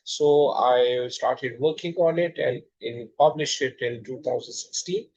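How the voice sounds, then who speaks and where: A man's voice: medium pitch, measured pace, a little mellow, highs cut off. Hesitant Pakistani man lecturing. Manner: hesitant, frequent uh fillers. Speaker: lecturer introducing his textbook. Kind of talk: slide-based lecture.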